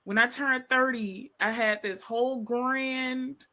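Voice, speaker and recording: High-pitched woman, 30s, podcast co-host, expressive storyteller in casual chat. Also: takes it slowly. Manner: storytelling, expressive, quotes people in voices.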